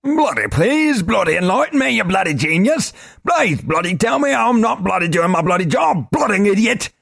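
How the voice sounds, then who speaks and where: A shrill, furious little minder. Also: male voice, big pitch swings, a little slow, gravelly, slightly rough, no room sound, studio quality, heavily acted. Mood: exasperated. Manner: shouting, spits the same curse constantly. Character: small irate male sphere minder, swearing.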